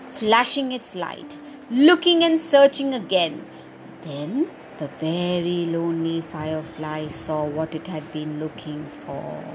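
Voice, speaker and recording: High, lively woman reading stories to kids. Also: a little hiss or hum. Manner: slow, animated, sing-song storytelling with sound effects. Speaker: children's storyteller podcast host. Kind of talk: reading a picture book aloud to children.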